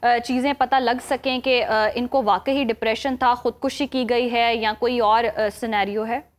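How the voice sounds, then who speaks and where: A woman anchors a live news show in a Pakistani accent. Her voice sits slightly high. She speaks as an interviewer, posing questions and adding commentary. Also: steady tone, measured pace.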